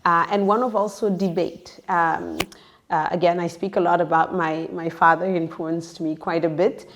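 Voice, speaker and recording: Low-pitched woman, thoughtful and halting. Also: steady tone, slight background noise. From born in Senegal, grew up in the United States. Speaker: interview guest, entrepreneur. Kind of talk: reflective personal podcast conversation.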